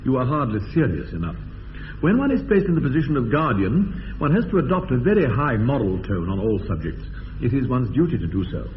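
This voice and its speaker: An actor playing a pompous, evasive young upper-class English gentleman in a radio comedy, indignant and formal in his phrasing. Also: medium pitch, big pitch swings, fairly quick, natural voice. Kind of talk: radio drama acting of period comedy. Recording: tinny band-limited radio, long reverb, hiss.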